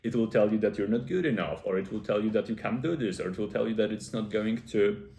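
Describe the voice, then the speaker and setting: Earnest man with a low voice, echoey room. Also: warm tone. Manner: earnest, explaining step by step with frequent "uh" pauses. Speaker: self-improvement video host. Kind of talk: casual advice talk to camera.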